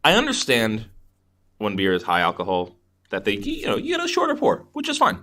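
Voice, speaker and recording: Very animated man with a bright voice. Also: on the low side, brisk. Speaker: podcast co-host. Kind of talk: casual chat about beer and films.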